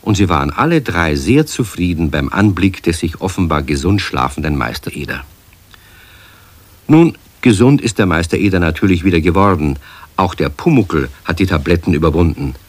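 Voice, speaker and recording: An actor playing a calm storyteller, reading scripted narration for a children's radio play. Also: male voice, low-pitched, moderate pace, slightly rough.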